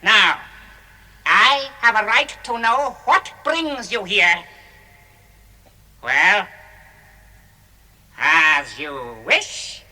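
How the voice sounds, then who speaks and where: Woman acting sharp, imperious elf monarch. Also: medium pitch, lively intonation, drawn-out words, pauses often, exaggerated voice. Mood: stern, accusing. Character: imperious, stern elf king, haughty and suspicious. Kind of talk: vintage radio drama acting.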